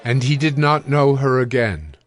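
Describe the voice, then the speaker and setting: A man narrates scripture in a scripted audio drama style. His voice sits at a medium male pitch, with soft music underneath. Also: animated, normal speed, a faint crowd.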